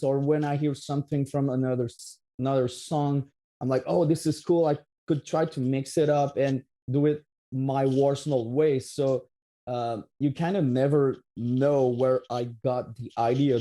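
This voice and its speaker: A man's voice: medium pitch, mellow. A man in his thirties with a Québécois French accent, a metal musician answering interview questions in a rambling way, full of restarts and fillers. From Quebec, Canada. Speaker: metal band musician, guest.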